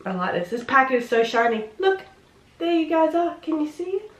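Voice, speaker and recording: A woman with a head cold, sounding nasal and sniffly, chatting excitedly through a casual makeup tutorial. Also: high voice, fairly quick. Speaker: beauty vlogger. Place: home room. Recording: roomy, echoey room.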